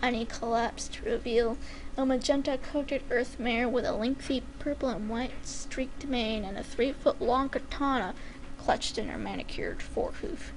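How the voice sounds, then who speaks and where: A woman's voice: on the high side, steady tone, moderate pace, poor audio. A woman giving an amateur dramatic narration of a comic fan-written story. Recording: faint background noise.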